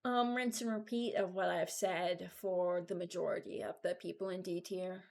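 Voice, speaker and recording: A woman giving informal, halting commentary as a video creator, thinking aloud while she ranks things. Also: lowish voice, fairly even intonation, gravelly. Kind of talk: casual commentary, ranking game voice lines.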